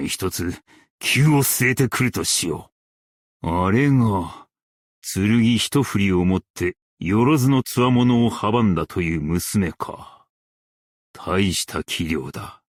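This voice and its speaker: A man playing a stern, dignified old-world master craftsman and warrior in a game, speaking in archaic, formal, measured Japanese diction with weighty delivery. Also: lowish voice, animated, dry recording, studio quality, heavily acted. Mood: grave, measured. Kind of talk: Japanese game character voice lines.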